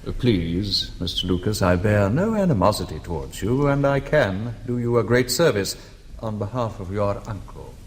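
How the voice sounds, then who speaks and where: Formal, unruffled British gentleman, lively intonation. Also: lowish voice. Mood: smooth, confiding. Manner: measured, formal, courteous. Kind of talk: British radio drama acting.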